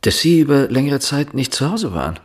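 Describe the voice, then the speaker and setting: An actor playing a persistent, soft-spoken police inspector in a radio drama, questioning in a probing, measured way. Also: male voice, medium pitch, big pitch swings. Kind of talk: German radio drama acting, quiet interrogation.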